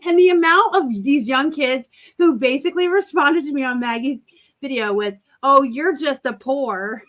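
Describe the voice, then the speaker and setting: A woman's voice: sits high, normal speed. Blunt joking woman in her forties. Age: 40s. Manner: blunt, joking, animated storyteller. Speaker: co-host of a reseller live chat. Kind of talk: casual chat.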